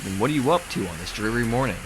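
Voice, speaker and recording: A man acting a weary, laid-back and mildly wry schoolteacher in a fan dub of a game, with a low-key, sighing delivery. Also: animated, fast talker, noisy background, close to an everyday voice. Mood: mildly puzzled.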